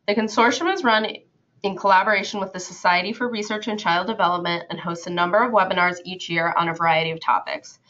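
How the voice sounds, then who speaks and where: A woman coordinator opens an online webinar, reading formal welcome remarks and housekeeping notes from a script. Her voice sits at a middle pitch for a woman. The audio is a little dull and muffled, as webinar sound often is. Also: a bit bright. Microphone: computer microphone in an online webinar.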